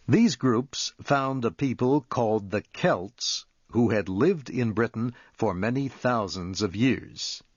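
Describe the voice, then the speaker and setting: A man reading a scripted educational narration for English learners in a deliberate, measured, clearly enunciated manner. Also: medium pitch, no room sound. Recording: muffled lo-fi audio.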